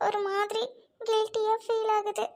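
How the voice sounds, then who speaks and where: Woman voicing a gentle young bride. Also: very high voice, completely flat delivery, a little slow. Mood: soft, pleading. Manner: soft, polite, keeps addressing mother-in-law.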